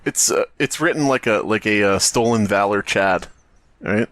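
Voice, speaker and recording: A man's voice: lowish voice, moderate pace, a bit bright, dry recording. A man co-hosting a podcast, riffing irreverently in casual comic banter and swearing freely. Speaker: podcast co-host.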